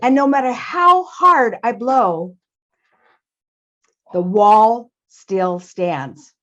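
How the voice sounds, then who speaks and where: A woman voicing a humble, apologetic Wind spirit in a storybook tale, with drawn-out, repeated emphasis. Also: a little high, fairly bright tone, highs cut off. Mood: emphatic, resigned. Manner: slow, drawn-out, repetitive emphasis.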